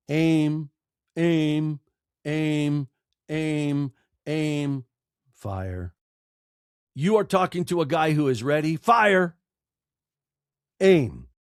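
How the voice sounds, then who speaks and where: A man's voice: on the high side, no echo, studio quality. A man speaking as a podcast guest, giving conversational advice emphatically and repeating phrases for effect.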